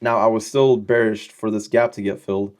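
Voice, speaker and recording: Young man, slightly low voice, casual trading lesson, chatty explaining, slangy joking asides. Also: fairly even intonation, brisk, a little mellow. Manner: loose, chatty explaining with frequent check-in questions. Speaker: trading educator.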